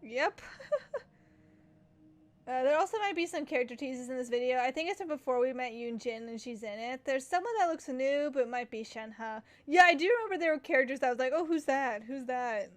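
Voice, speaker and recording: A woman's voice: high-pitched, fairly quick. A woman giving casual, informal playthrough commentary, thinking aloud as she reacts to a game. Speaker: gamer reacting to cutscenes.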